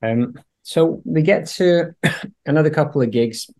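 A man's voice: a little high, a little slow, dark tone. A man hosting a casual music discussion, feeling his way through his points with some hesitation. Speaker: podcast host.

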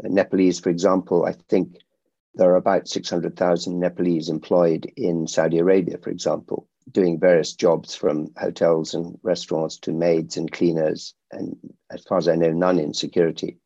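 A middle-aged man who grew up in India, a security company founder answering interview questions in a measured way with frequent restarts. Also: deep voice, little pitch movement, creaky, mellow, no room sound, muffled recording.

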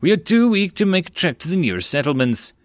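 A man's voice: a little high, very animated, fast talker, no echo, clean studio sound, pitched above the performer's own voice. A man voicing a lanky, desperate middle-aged male merchant who pants and stammers as he pleads. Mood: weary.

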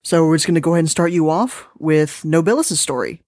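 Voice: medium pitch, a little fast, darkish tone, no echo, studio quality. A podcast host talking casually and with enthusiasm, introducing stories in an informal way. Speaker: podcast host and story reader. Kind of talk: casual podcast hosting and story reading.

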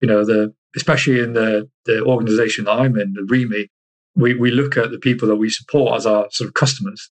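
A man from Iceland chatting casually as an interview guest, halting and repeating himself as he searches for words. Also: lowish voice, steady tone. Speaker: interview guest, army soldier.

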